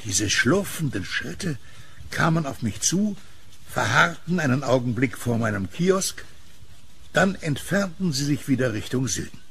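Casting: male actor as an earnest, puzzled kiosk keeper for horror audio drama, creaky voice with lively intonation. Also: mid-range voice, unhurried, a little hiss or hum. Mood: uneasy. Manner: earnest, questioning.

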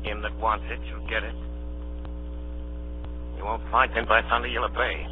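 An actor playing a sly older pirate ringleader who wheedles and bullies in salty sailor talk, in old radio drama style. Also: male voice, on the low side, level tone, moderate pace, lightly acted. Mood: menacing. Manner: wheedling then bullying, salty sailor idiom. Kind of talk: 1930s radio drama acting. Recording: dull old radio broadcast, music, hum.

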